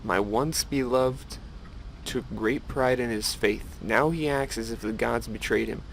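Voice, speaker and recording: A solemn, sorrowful elf priestess of a fantasy game, read aloud by a man in a creaky mid-range voice. The delivery is earnest and devout, like measured storytelling. Slight noise sits under the recording. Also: mid-range voice, fairly bright tone, poor audio. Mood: wistful. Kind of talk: reading game quest dialogue aloud.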